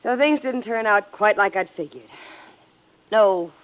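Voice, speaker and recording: A woman acts out a hard-boiled female killer from an old radio thriller. Her pitch moves around a lot as she talks in sarcastic slang. She is brazen and mocking. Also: expressive, measured pace, lightly acted, poor audio. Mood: brazen, defensive. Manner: sarcastic, tough-talking, slangy. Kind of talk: 1950s radio crime drama acting.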